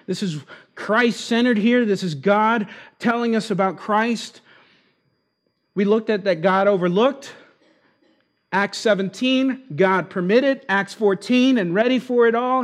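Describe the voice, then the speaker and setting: A man with Argentine roots preaching an expository sermon, slowing down to stress key words. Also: high voice. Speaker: pastor.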